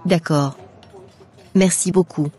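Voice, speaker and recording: A woman's voice: on the low side, steady tone, slow pace, mellow. A woman voicing a troubled, trusting young mother-to-be in a French cartoon. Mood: grateful. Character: troubled, trusting pregnant woman running a restaurant. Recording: music behind the voice.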